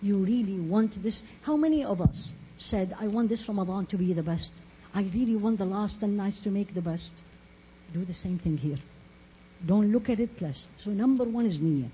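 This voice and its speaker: A woman's voice: medium pitch, normal speed. A woman from Iraq gives an Islamic talk as a preacher, telling stories earnestly and emphatically with repeated phrases and rhetorical questions. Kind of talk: religious talk with storytelling. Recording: muffled lo-fi audio with faint noise.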